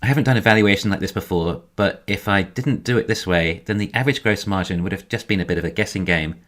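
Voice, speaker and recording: A man giving a scripted financial commentary in a measured, explanatory way. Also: sits low, fairly bright tone. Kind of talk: scripted narration, financial analysis.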